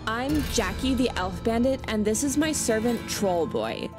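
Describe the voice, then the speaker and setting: A woman's voice: a little high, big pitch swings, unhurried, over music. A woman voicing a snooty, insecure rich preteen girl in a cartoon comic dub, disdainful and whiny.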